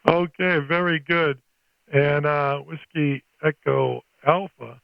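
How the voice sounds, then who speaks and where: Older man, higher-pitched, on ham radio. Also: steady tone, takes it slowly, gravelly, dry recording, highs cut off. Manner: folksy, scattered, thinks aloud. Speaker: amateur radio operator making a video. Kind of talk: casual two-way radio chat.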